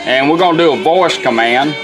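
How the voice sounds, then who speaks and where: A man's voice: fairly high pitch, fairly quick, over loud music. A man giving a casual, plain-spoken, step-by-step hands-on tutorial. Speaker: product demonstrator, radio restorer. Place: indoor workbench.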